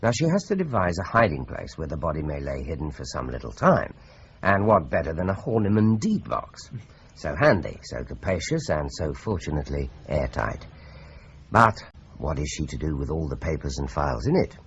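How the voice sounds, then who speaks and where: An actor playing a calm detective who explains a murder case in a measured way. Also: male voice, a bass voice, dull audio, a little hiss or hum, full character voice. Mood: explaining.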